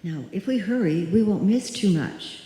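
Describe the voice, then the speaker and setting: A woman acting a brisk, stubborn character who refuses to be frightened, in an old time radio suspense play. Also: mid-range voice, animated, mellow, close to an everyday voice. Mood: firm, insistent. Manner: firm, dismissive, bossy. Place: live stage performance in a hall. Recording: very echoey live hall.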